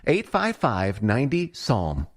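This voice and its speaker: A man's voice: medium pitch, huge pitch swings, slow. A man with an American accent reading a conversational, scripted radio advertisement. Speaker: advertisement announcer.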